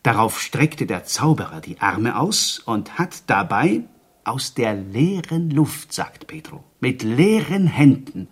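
A husky male villager with a mid-pitched voice in a radio drama. He spins a wondrous tale with superstitious excitement, breaking up his phrases for suspense. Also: slow, exaggerated voice. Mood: hushed, marveling. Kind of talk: radio play dialogue, oral storytelling.

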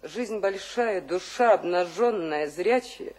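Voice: medium pitch, lively intonation, takes it slowly, a little mellow, low-quality recording. An actor playing a sorrowful, exalted young romantic in a poetic radio drama, with fervent declamation. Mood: wistful farewell.

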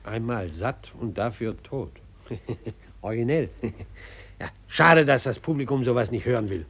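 An actor playing a glib, evasive cabaret director in a mid-century radio drama, offhand and condescending. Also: male voice, medium pitch, animated, normal speed, fairly bright tone, slightly rough, dull audio. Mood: amused, dismissive.

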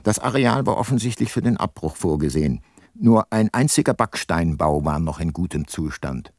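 An actor playing a world-weary private detective who narrates his case soberly in a crime radio drama, with a husky voice. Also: low-pitched, expressive, moderate pace, a little mellow, dry recording, clean studio sound. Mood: sober, reflective.

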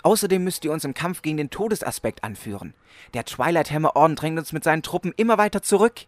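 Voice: medium pitch, lively intonation, fast talker, no room sound, close to an everyday voice. An actor playing an earnest, starstruck young warrior lad, stiff and deferential, in a comedic audio drama.

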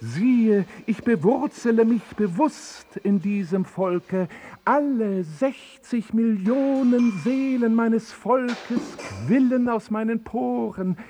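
Earnest praying supplicant, high mellow male voice, slow solemn pleading, radio play. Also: slight background noise, heavily acted. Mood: imploring. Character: earnest, pleading man praying bitterly to his Lord. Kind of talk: avant-garde radio play acting.

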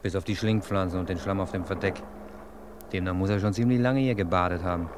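A man's voice: deep voice, fast, background noise, natural voice. A man playing an eager, talkative young police assistant in a 1950s radio play.

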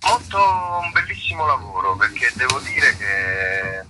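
A man's voice: mid-range voice, sing-song, a little slow, heavy background noise. A man, an actor giving reflective, conversational interview answers and searching for his words. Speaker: actor being interviewed about a play.

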